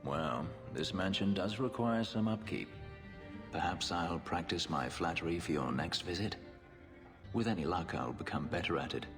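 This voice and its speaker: A man voicing a guarded, brooding elf fugitive in a video game, gravelly, measured and dry-witted. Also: sits low, expressive. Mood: dryly courteous. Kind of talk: dramatic video game acting. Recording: long reverb, music underneath. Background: music.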